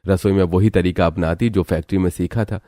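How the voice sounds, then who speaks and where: A man's voice: sits low, fairly even intonation, fairly quick, warm tone. A man narrating a scripted cartoon family story.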